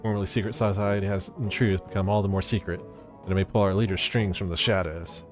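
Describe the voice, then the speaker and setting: A man acts out an excitable video game reporter obsessed with conspiracies. He declaims pompously and dramatically in a fairly low voice, with music playing underneath. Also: fast talker, close to an everyday voice. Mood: accusing. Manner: bombastic, declamatory, stammering in alarm.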